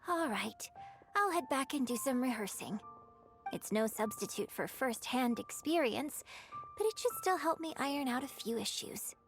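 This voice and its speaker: High-voiced, gracious young opera star. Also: female voice, lively intonation, measured pace, soft background music. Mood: gentle, composed. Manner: polite, refined, demure. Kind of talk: video game voice acting.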